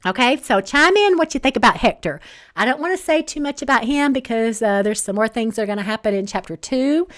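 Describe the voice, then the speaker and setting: Chatty Southern woman with a high voice. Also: animated, brisk. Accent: Southern US, Alabama. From Northeast Alabama. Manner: chatty and rambling, many ums and restarts, says y'all. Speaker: author hosting a live book chat. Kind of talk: casual livestream chat, reading out viewer comments.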